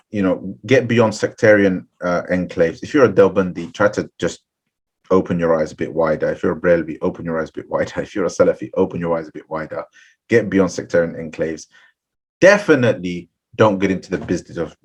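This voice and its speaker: A man's voice: lowish voice, slightly rough. A middle-aged man, an Islamic scholar, giving a webinar talk of advice to seminary graduates, earnest and full of restarts. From Bengali background, seminary-trained. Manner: earnest, repeats and restarts phrases, asks "right?". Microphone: webinar, computer mic.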